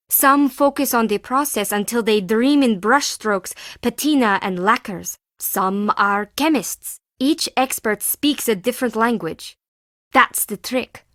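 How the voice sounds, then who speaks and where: High-voiced, anxious woman artist, dry studio sound. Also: no echo. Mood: knowing, instructive. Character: timid, anxious, defensive woman artist and forger. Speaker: video game voice actor. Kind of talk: scripted video game character dialogue.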